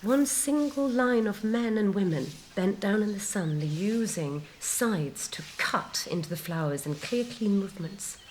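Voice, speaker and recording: A woman playing a dreamy, tender heroine recalling what she senses in a soft, breathy, hushed monologue, savoring each phrase. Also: mid-range voice, unhurried, few pauses, a little hiss or hum, close to an everyday voice, low-quality recording. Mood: tender reverie. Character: dreamy, tender woman hero recalling sensory memories with wonder. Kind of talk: intimate dramatized monologue. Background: music.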